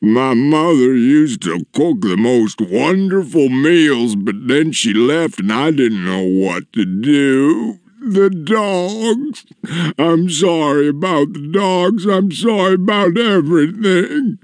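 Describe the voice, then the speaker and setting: Casting: a grimy, lonesome storybook giant, menacing yet sorrowful, ponderous and slow, recorded dry. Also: male voice, on the high side, expressive, no room sound, pitched above the performer's own voice. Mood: sorrowful, pleading. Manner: slow, drawn-out, ponderous delivery. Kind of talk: storybook character voice.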